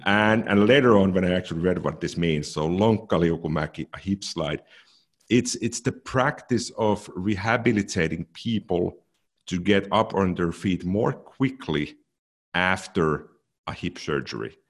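A man with a very low, deep voice, co-hosting a podcast. He talks in a relaxed, thoughtful way and often doubles up words as he searches for them. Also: unhurried. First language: Finnish. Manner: thoughtful, repeats words as he goes. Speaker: podcast co-host. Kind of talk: casual technical chat.

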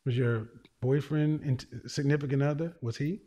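A man's voice: mid-range voice, steady tone, normal speed, dark tone. A man hosting a call-in show, blunt and interrupting, with a big voice and a habit of repeating his phrases. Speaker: show host. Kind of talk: live call-in show talk.

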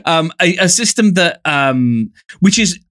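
A man's voice: on the high side, animated, measured pace, fairly bright tone, no echo, studio quality. A man with an English accent co-hosting a podcast, talking casually in a wordy, jokey way. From England. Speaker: podcast co-host.

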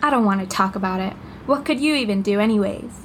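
A woman playing a curious, excitable young girl in an audio drama, with a casual, youthful way of talking. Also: mid-range voice, fast, slight background noise, lightly acted. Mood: sullen, wary.

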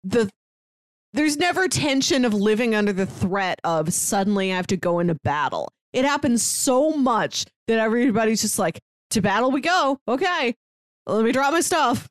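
A woman's voice: fairly high pitch, big pitch swings, moderate pace, no echo, clean studio sound. A woman co-hosting a manga discussion podcast, talking casually and slipping into little mock dialogues to make her points. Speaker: podcast co-host reviewing manga.

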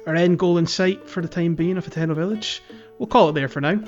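A man's voice: on the high side, quick pace, a little mellow, highs cut off. A man hosting a casual gaming podcast, talking in an informal, conversational way. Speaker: podcast host. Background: music.

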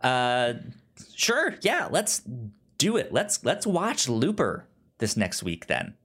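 A man hosts a relaxed movie podcast in a mid-pitched voice that swings up and down expressively. His delivery is conversational and halting, with words often doubled as he finds his sentence. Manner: halting, with stuttered word repeats. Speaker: podcast co-host. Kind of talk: casual film podcast chat.

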